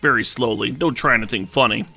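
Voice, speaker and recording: A man voicing a villainous male chocolate milk glass who leads an outlaw gang, with a slow, menacing Southern drawl. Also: medium pitch, creaky, pushed and bright, slightly rough, faint music behind, full character voice.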